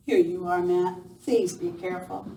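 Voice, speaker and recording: A woman's voice: lowish voice, fairly even intonation, normal speed, creaky, mellow. A woman playing a worried, homely frontier wife in an amateur western radio play. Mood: worried, fond. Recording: very noisy, echoey distant live recording.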